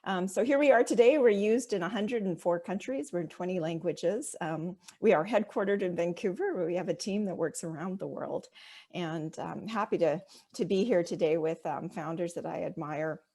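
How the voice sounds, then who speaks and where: A woman with a somewhat low voice introduces herself on a panel as a startup founder. She speaks fluently and in a polished, practiced way. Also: normal speed, mellow.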